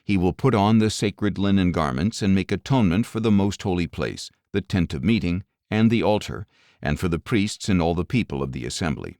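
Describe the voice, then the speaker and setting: A man narrating scripture as a scripted audio Bible reading, in a measured, formal manner. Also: low voice, lively intonation, darkish tone, dry recording, clean studio sound.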